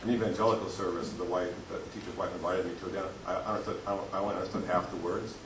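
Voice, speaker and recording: A man with a very deep voice tells rambling anecdotes in a group discussion, often restarting his sentences. He sounds far from the mic in an echoey room. Steady noise runs underneath. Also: racing. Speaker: teacher.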